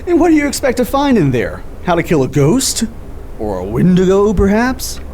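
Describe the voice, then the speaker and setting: A man playing an excitable, argumentative skeptic in an audio drama, stammering and exasperated in an informal way. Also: high voice, normal speed, a little hiss or hum. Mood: challenging.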